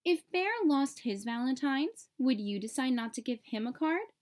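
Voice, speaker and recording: Mister teacher owl read by a woman, children's story, high register, kind, patient, reassuring. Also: lively intonation, normal speed, darkish tone. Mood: gentle, reassuring.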